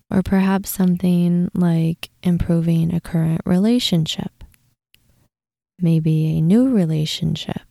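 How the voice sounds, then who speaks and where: Slow, very mellow woman guiding meditation. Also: fairly low pitch, creaky, dry recording, studio quality. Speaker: guided meditation host. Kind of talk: guided meditation, soothing instruction.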